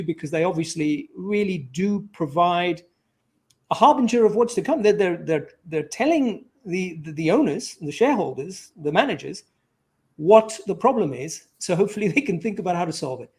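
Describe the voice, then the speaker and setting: Male briefing host, notably high voice, effusive, conversational interviewing style. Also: a little mellow.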